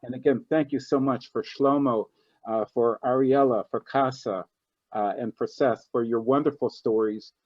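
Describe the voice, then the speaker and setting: A man's voice: medium pitch, steady tone, normal speed, a little mellow, dry recording. A man hosting an online event, speaking casually with many ums and restarts. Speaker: host of a video-call event. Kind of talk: casual closing remarks and thanks. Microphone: video call. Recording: dull, lo-fi video-call audio.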